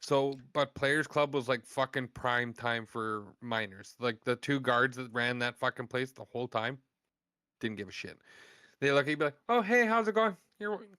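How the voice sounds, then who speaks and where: A man in his thirties with a bright, forceful voice, swearing freely as he rambles through stories in casual chat. The sound is close and dry, with no room around it. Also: mid-range voice, normal speed, clean tone, no room sound. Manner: heavy swearing, loose storytelling. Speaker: podcast co-host.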